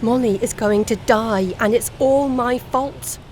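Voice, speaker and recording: A woman's voice: on the high side, unhurried, a little mellow, natural voice. A woman playing a guilt-ridden, determined heroine desperate to save her friend, speaking earnestly in an audio play. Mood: anguished. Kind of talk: amateur audio drama acting. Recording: noisy home-style recording.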